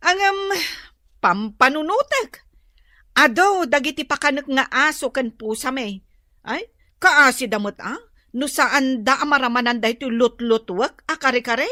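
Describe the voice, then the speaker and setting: A boastful village woman in an Ilocano radio comedy. Her voice is very high and piercingly bright. She drags out her taunts with showy, stingy smugness. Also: measured pace, dry recording, studio quality. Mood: smug, teasing.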